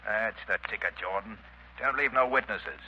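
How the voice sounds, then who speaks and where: An actor playing a crude, callous henchman with rough, colloquial speech in a 1930s radio crime drama. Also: lowish voice, moderate pace, sharp tone, slight background noise. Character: crude, callous male henchman eager for killing. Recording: muffled 1930s radio broadcast.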